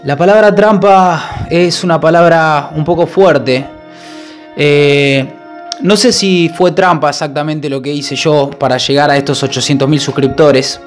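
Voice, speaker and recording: A man's voice: on the high side, fairly even intonation, soft background music. Young Rioplatense man, mock-solemn confession. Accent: Rioplatense Spanish. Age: young adult. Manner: halting, mock-solemn, self-interrupting. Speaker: YouTuber. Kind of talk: mock-serious confession vlog. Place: small kitchen indoors. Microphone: handheld mic held close.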